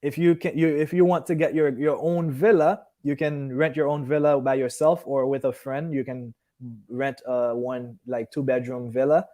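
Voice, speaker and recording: A man's voice: mid-range voice, steady tone, moderate pace, mellow. A man in his early thirties from Haiti, raised partly in Miami, hosting a casual live stream in a rambling, conversational way as he answers viewers. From Haiti, moved to Miami at fourteen. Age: early 30s. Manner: rambling, loses and regains his thread.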